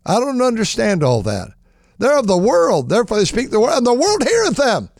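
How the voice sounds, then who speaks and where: A man with a raspy voice preaching an impassioned sermon, stammering and restarting, then surging into shouted praise. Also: extremely high pitch, wildly swooping pitch, a little fast, no room sound, studio quality. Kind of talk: fervent radio sermon.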